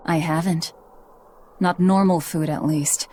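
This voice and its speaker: A woman's voice: low voice, fairly even intonation, slow pace, warm tone, a little hiss or hum. An actress playing a guarded young woman demon who devours her enemies, speaking in a curt, cold manner in a video game cutscene.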